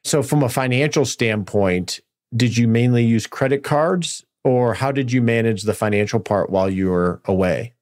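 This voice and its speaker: A man's voice: mid-range voice, moderate pace, darkish tone. An American man hosting a podcast, asking questions in a conversational interview style. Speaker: podcast host interviewing guests.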